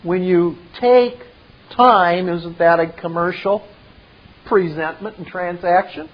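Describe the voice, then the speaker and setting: A man presenting a retelling of a film scene with his own commentary, in emphatic, halting, dramatic phrasing. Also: sits high, muffled recording.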